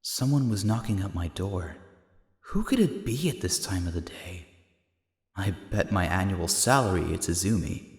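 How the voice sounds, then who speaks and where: A man plays an aloof young detective with a lowish, lively voice and a dry, sardonic streak. It is an audio drama, soaked in long reverb. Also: normal speed. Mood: wry, groggy. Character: wry, aloof young male private investigator. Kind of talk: fan audio drama acting. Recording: long reverb, faint music.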